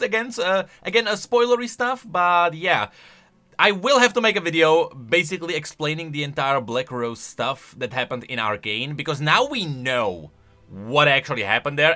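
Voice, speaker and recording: A man's voice: a little high, pushed and bright. A man giving casual video commentary on game lore as a YouTuber, rambling along and stretching words for emphasis.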